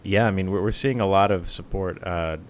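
A man with a very low voice talks through software plans in a loose, relaxed podcast chat. He fills his sentences with "uh" and often restarts them. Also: brisk, slight background noise. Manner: relaxed, many uh fillers and restarts. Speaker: podcast co-host, software team member. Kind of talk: casual tech podcast chat.

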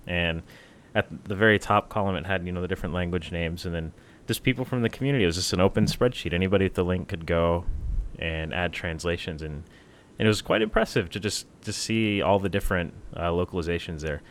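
A man co-hosting a casual tech podcast, talking in a relaxed way with many fillers and restarts. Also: deep voice, fast, few pauses, a little hiss or hum. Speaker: podcast co-host, software team member.

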